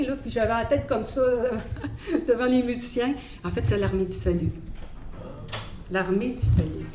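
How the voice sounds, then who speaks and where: Quebec French woman sharing a testimony, halting and thoughtful, mid-pitched, recorded in a reverberant hall. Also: a little mellow. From Montreal, Quebec. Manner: hesitant, reflective, many fillers. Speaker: guest speaker giving a faith testimony. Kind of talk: personal testimony talk. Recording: echoey hall, faint background noise.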